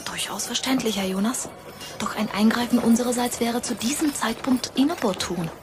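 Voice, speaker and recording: A woman's voice: fairly high pitch, normal speed, over music, close to an everyday voice. A woman playing a polite, coolly ruthless media executive in a radio drama, formal and clipped. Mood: smooth, detached.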